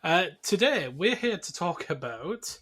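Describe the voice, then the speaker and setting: A man with a slightly high-pitched voice hosts a podcast. He talks casually about film, as in a relaxed discussion among co-hosts. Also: measured pace, husky. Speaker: podcast host.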